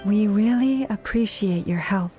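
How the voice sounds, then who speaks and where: Actress as gentle, gracious young game heroine. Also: female voice, medium pitch, a little slow, soft background music, natural voice. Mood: polite. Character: gentle, gracious young woman, kindly and polite. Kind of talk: video game voice acting.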